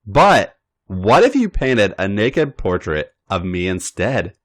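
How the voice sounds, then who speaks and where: A man's voice: lowish voice, very animated, takes it slowly. A man voicing an emotional, bubbly young woman who is eager and persuasive.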